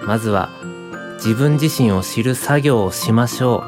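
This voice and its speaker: A man's voice: mid-range voice, a little slow, over music, lightly acted. An actor playing a modest, anxious young male student in a radio drama, polite, hesitant and eager to please. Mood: sincere, reflective. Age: young adult. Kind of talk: radio drama acting and reminiscing narration.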